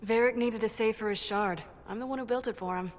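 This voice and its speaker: A woman's voice: on the high side, a little fast, close to an everyday voice. Woman playing a brash dwarf inventor in echoey cavern. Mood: wry, casual. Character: brash, defensive female dwarf smith and inventor. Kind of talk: video game cutscene acting. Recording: echoey cavern reverb, background noise.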